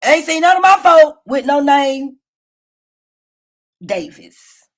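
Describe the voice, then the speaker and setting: Loud profane woman streaming. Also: takes it slowly. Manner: loud, profane, animated storytelling. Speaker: livestream host. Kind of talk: casual livestream commentary. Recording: low-quality phone stream.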